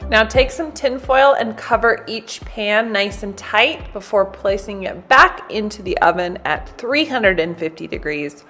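A woman in her thirties, a cooking channel host, chatting brightly through a recipe in a home kitchen. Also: mid-range voice, expressive, a little slow, hardly any pauses, soft background music. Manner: bubbly, chatty, addresses viewers as "you guys". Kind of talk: recipe demonstration, voice-over and to camera.

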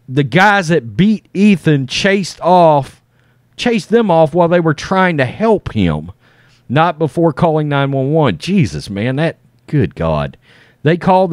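A man's voice: medium pitch, darkish tone, no echo, clean studio sound. A middle-aged American man hosting an online show, reading a news article aloud and adding casual off-the-cuff commentary.

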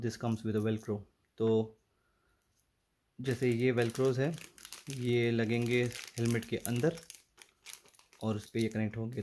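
Slow-paced Indian man, mellow voice. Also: mid-range voice, slight background noise. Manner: mixes two languages, thinking aloud while handling items. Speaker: vlogger presenting a product. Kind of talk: casual unboxing and installation walkthrough.

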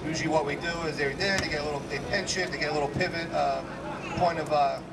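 A man, a fire captain giving a live demonstration talk with a strong, loud voice and many fillers. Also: a little high, fairly even intonation, quick pace. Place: outdoor parking lot. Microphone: handheld microphone to a PA. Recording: distant PA voice, loud outdoor noise.

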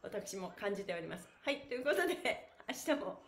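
A woman's voice: mid-range voice, sing-song, brisk, vocal fry. A middle-aged woman, an effusive, playful and encouraging stretch instructor chatting to her livestream viewers. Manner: effusive, encouraging, playful, frequent laughing exclamations. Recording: echoey bare room.

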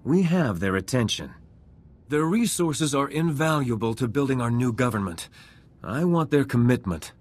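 An actor playing a composed, idealistic resistance politician in a video game, measured and formal. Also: male voice, mid-range voice, expressive, natural voice. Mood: composed, earnest. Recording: music playing behind the voice.

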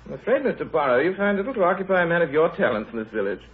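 Animated, brisk village doctor. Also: male voice, a little high, slightly rough. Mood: polite. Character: brisk, authoritative village doctor, impatient under pressure. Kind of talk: 1930s radio acting. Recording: tinny, noisy 1930s radio broadcast.

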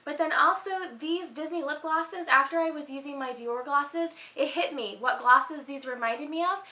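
An American woman in her thirties chatting casually in a vlog, gushing a little, with a slightly hoarse voice as if losing it to a cold. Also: high voice, normal speed, sharp tone. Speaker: beauty and handbag vlogger. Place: small furnished room at home. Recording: roomy indoor echo.

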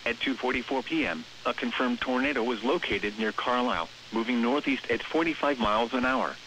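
Automated American man, mid-pitched, reading emergency bulletins in a measured, impersonal way on a hissy radio broadcast. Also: very animated, bright, forceful tone, rough recording. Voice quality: synthetic text-to-speech voice. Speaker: weather radio announcer.

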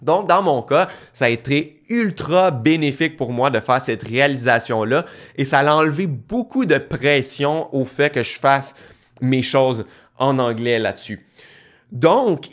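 A young man with a Québécois French accent, explaining in a casual talking-head video from a small home studio, lively and gesturing, restarting phrases as he thinks. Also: medium pitch. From Quebec. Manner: animated, gestures a lot, thinks aloud with restarts. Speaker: online course creator. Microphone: studio mic on boom arm beside him.

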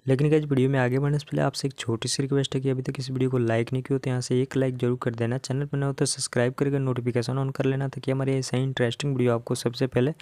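An Indian man hosts a casual how-to video for phone users. His pitch sits in the middle of the male range, and the sound is dry and close. He speaks straight to viewers, instructing them step by step. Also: level tone, fast, hardly any pauses, darkish tone, no room sound. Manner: addresses viewers directly, instructional. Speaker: tech tutorial host.

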